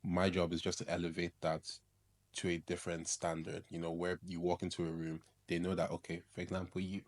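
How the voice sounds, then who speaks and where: Young man, low voice, easygoing podcast guest who laughs a lot. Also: fairly quick. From Ireland. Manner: laughs often, many "like" fillers, relaxed and self-mocking. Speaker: guest stylist. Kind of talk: casual podcast chat.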